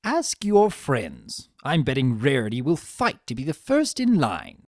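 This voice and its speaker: A reader voicing a sly, scheming, courtly male trickster creature with a suave, drawn-out, theatrical delivery. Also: medium pitch, wildly swooping pitch, no echo, studio quality.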